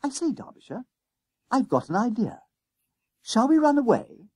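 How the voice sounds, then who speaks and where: An eager young schoolboy, acted by a man in a storybook reading. The voice jumps high and swings about excitably. He is bold and bossy, insistent in his old-fashioned schoolboy slang. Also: moderate pace, no echo, studio quality, heavily acted. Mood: excited scheming.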